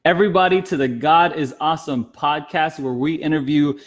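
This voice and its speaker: A man's voice: fairly high pitch, steady tone, fairly quick. A man hosting an interview podcast in a casual, chatty conversational style.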